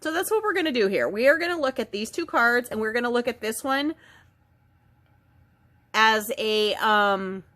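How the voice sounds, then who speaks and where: A woman with a head cold and a slightly nasal voice, giving a casual spiritual oracle card reading in a chatty, gentle way. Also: a little high, expressive, normal speed, long pauses. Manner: chatty, gentle, with frequent ums and self-corrections.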